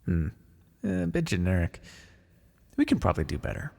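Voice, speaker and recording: A man playing a blunt, callous, wryly businesslike necromancer in an ASMR fantasy roleplay, muttering in a deadpan, gravelly voice. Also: low-pitched, a little fast, heavily acted. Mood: wry, matter-of-fact. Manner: deadpan, muttering to himself in thought. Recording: long reverberant hall echo.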